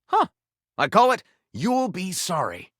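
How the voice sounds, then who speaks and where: A man's voice: high voice, wildly swooping pitch, measured pace, fairly bright tone, dry recording, studio quality, heavily acted. An actor voicing a flamboyant male storyteller of lurid romance in a video game, with theatrical, breathless, overwrought dramatic narration. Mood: delighted, impressed.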